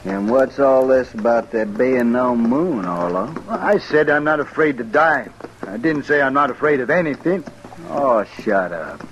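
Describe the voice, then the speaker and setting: An actor playing a bickering, uneasy outlaw on the run, with a rustic frontier drawl, in an old-time radio western. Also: male voice, medium pitch, lively intonation, moderate pace, lo-fi sound, natural voice. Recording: noisy vintage radio recording.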